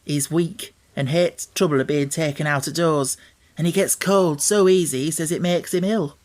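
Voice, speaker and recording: A man's voice: on the high side, fairly even intonation, moderate pace, a little mellow, close to an everyday voice. Yorkshire maid, voiced by a man, young, homely, dutiful, anxious, storybook character. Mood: gossipy. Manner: homely, dialect speech.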